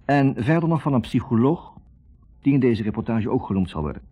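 An actor playing a writer who recounts his own investigation in a measured, reflective way, in the style of 1960s radio drama narration. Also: mid-range voice, animated, dark tone, slightly rough, soft background music. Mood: matter-of-fact. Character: troubled male writer recounting his taped investigation of a couple. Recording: muffled 1960s radio recording.

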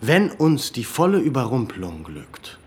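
A resolute commander. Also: male voice, mid-range voice, wildly swooping pitch, darkish tone, slightly rough, heavily acted. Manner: firm, deliberate, commanding. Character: resolute male military leader planning a raid. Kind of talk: German radio play acting.

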